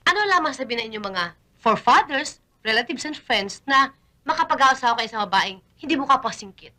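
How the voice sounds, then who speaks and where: A woman's voice: sits high, animated, brisk, sharp tone. An actress playing a vain, flirty, dramatic woman in a sitcom, with theatrical delivery and English mixed into her lines. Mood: coy. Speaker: comic lead in a sitcom. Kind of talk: broadcast sitcom acting.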